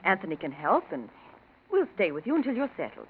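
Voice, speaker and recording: A woman acting a brisk, supportive sister-in-law, decisive and genteel, in 1940s radio style. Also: medium pitch, very animated. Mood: brisk, kindly. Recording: noisy, muffled 1940s radio recording.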